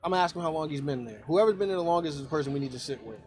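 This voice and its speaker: A man talking quickly and loosely as a podcast co-host, his voice a touch higher than most. He rambles in easygoing chat and laughs often. Faint music plays underneath. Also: lively intonation. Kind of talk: casual chat.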